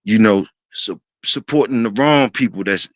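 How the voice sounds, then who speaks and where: A man in his fifties hosting a casual talk, speaking in a laid-back, rambling way with many fillers and restarts, often clearing his throat. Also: fairly low pitch, moderate pace, a bit bright, no echo. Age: 50s. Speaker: host.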